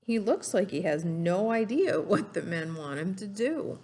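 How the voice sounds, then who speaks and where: A woman's voice: medium pitch, lively intonation, a little slow, dark tone. A woman reading a storybook aloud and teaching children, explaining simply and putting questions to her young listeners.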